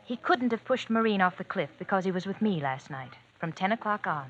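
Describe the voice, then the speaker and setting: An actress playing a rich young woman in an old radio drama, coy, sugary and quietly scheming. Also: mid-range voice, animated, fairly quick, natural voice. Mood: assured. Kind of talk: 1940s radio acting. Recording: dull old radio recording, faint hiss.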